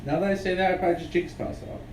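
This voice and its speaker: A man's voice: sits high, very fast. A young man with a congested, sniffly voice, chatting offhand to his stream as he plays. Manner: rambling, offhand, talks to himself. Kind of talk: casual stream chatter while playing. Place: room at home. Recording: echoey room, mic at a distance, background noise.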